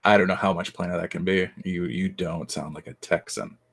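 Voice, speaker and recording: A man's voice: sits low, fairly even intonation, a little fast, creaky, a bit bright, slightly rough. A man co-hosting a livestream, casually chatting and teasing the others with firm opinions.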